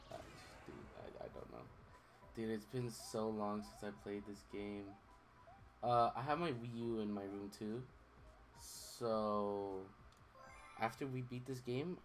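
A man's voice: on the low side, steady tone, measured pace. Rambling young man streaming a game. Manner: rambling, distracted, swears casually. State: voice recovering from strain. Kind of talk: casual gameplay commentary. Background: game music.

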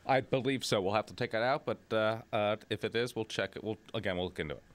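A man's voice: lowish voice, fast talker. A man co-hosting a talk radio show, introducing the guest with stumbling restarts.

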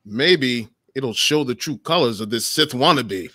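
A man's voice: mid-range voice, animated, a little slow, bright, forceful tone, no echo, studio quality. A man acting a suave, suspicious scoundrel in a comic table read. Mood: scheming. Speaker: actor in live script table read. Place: home room, video call. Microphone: desk microphone, close.

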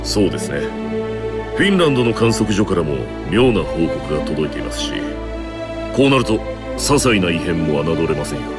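A man's voice: deep voice, very animated, moderate pace. An actor voicing a proud, authoritative warrior king in a Japanese fighting game, declamatory and stern. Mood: formal, composed. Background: background music.

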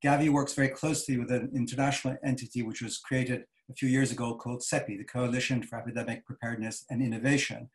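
An older man, a board chair, explaining a financing idea on a video call from his home study, deliberate and given to repeating words as he builds each point. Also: medium pitch, rough recording. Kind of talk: measured expert explanation.

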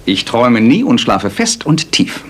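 An eccentric master detective in a German radio drama, with a mid-pitched, very lively voice. He is precise, self-assured and coolly superior, over faint background noise. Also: unhurried. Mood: dry, dismissive. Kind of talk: German radio play acting.

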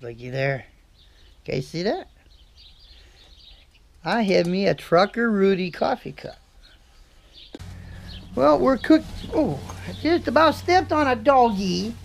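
Slow gravelly folksy man. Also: sits high, a little hiss or hum. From USA. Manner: folksy, relaxed, chatty. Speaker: trucker vlogger. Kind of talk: casual vlog chat. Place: in a parked vehicle, on a home porch outdoors. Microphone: camera mic close up.

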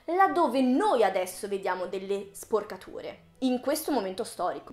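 A woman in her early twenties talking casually to her viewers in a video essay, with playful, ironic asides. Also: a little high. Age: early 20s. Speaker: video creator, host. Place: small room with bookshelves, indoors. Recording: roomy indoor echo.

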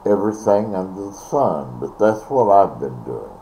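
An older man chatting casually and ramblingly, halting and repeating words, recorded on a device mic in a bedroom. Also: low voice, very animated, dark tone. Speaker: vlogger. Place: small bedroom, indoors. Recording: dull, noisy webcam audio.

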